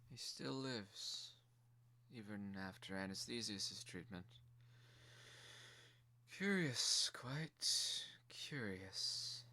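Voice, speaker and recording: A man voicing a sweet but stern regal mother figure, quiet, soft and deliberate in her speech. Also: fairly low pitch, lively intonation, no echo, full character voice. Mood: quietly imperious.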